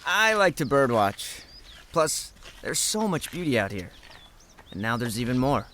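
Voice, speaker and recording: A man's voice: medium pitch, sing-song, measured pace, pushed and bright, slight background noise. A man playing an earnest, devoted young student in an audio drama. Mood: sincere, easygoing. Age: young adult.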